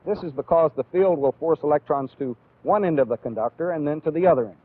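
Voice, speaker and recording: An American man giving a methodical, deliberate instructional lecture as a training film instructor. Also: a little high. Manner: deliberate, methodical, clearly explanatory. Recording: phone-like, band-limited old training film audio.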